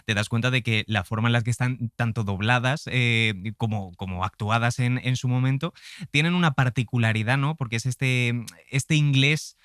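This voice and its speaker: Guy hosting a podcast chat, kinda halting, medium pitch, dry sound no room. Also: fairly bright tone, clear, resonant tone, no room sound. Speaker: podcast interviewer. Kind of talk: conversational interview.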